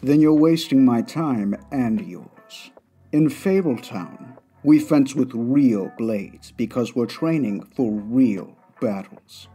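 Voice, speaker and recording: Slow, stern fencing master played by a man. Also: medium pitch, warm tone, husky. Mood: stern, taunting. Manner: curt, condescending. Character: stern, taunting fencing master of fairy-tale exiles. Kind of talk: comic dub voice acting. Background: plucked guitar music.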